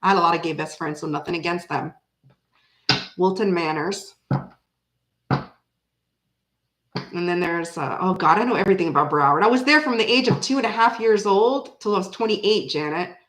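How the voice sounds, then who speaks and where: A woman in her forties, raised in Florida and bilingual in French, with an American accent. She chats casually with live viewers, rambling and breaking into sudden animated bursts. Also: on the low side, moderate pace, long pauses, reverberant. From Canada, raised in Florida. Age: 40s. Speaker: tarot reader chatting with live viewers. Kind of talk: casual livestream chat.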